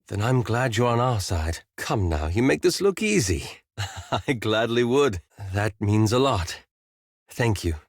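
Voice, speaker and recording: A scholarly male wizard from a fantasy video game, played by a male actor, with a mid-range voice. He speaks formally and deliberately, earnest and a little self-doubting. The recording is close and dry, with no room sound. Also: medium pitch, no echo, close to an everyday voice. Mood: thoughtful, uncertain. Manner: formal, measured, hesitant, thoughtful phrasing. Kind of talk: video game voice acting, dialogue lines.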